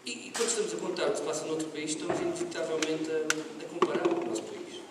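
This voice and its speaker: A man on a panel, speaking hesitantly with frequent pauses and fillers. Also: on the high side, fairly even intonation. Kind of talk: panel talk. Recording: echoey hall from far off, noisy.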